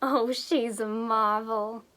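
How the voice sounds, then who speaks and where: Woman as a high-voiced, slow American farm parent. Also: steady tone, a bit bright. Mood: folksy, opinionated. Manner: unhurried, folksy. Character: plain-spoken, opinionated small-town farm parent. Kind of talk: amateur stage-play acting.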